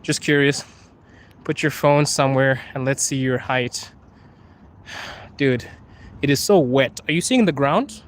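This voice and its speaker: A man's voice: mid-range voice, measured pace, pauses often. A young man from Zimbabwe live-streaming from his phone on a wet street at night, chatting casually, laughing often and teasing his viewers. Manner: laid-back, laughs often, playful teasing. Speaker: livestream host. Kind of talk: casual live-stream chat, reading comments. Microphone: phone held while walking.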